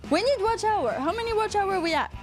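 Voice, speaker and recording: A woman's voice: very high voice, expressive, fast, over music. A woman from Quebec with a Quebecois accent, co-hosting a casual live podcast, rambling and joking as she reads out chat comments. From Quebec, Canada. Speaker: podcast co-host.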